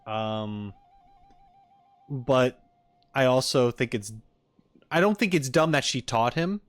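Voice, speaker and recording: A man's voice: mid-range voice, slow pace, no room sound, soft background music. A man doing casual livestream commentary as he reacts to a game, opinionated and emphatic, in an argumentative way. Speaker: streamer reacting to game voice lines.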